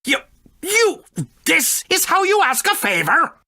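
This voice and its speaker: A woman voicing a grumpy, excitable little furry creature in a video game, with a raspy voice and blustering, indignant manner. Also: very high voice, unhurried, dry recording, clean studio sound, exaggerated voice. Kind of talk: video game character acting.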